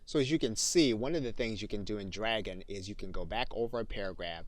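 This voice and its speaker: A man narrating an instructional software tutorial as a trainer, explaining things methodically. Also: fairly low pitch, fast talker, dry recording.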